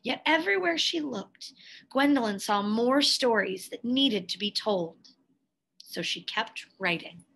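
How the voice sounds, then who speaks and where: A woman reading a picture book aloud expressively, in a measured way with dramatic pauses and emphasis. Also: fairly high pitch, animated, vocal fry, a bit bright, slightly rough, low-quality recording. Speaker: read-aloud storyteller, teacher.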